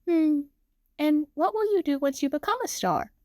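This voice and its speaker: A gentle, kind virtual singer girl with a soft, reassuring way of talking, voiced by a woman. The voice is high and lilting, recorded dry and close. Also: expressive, moderate pace, dry recording.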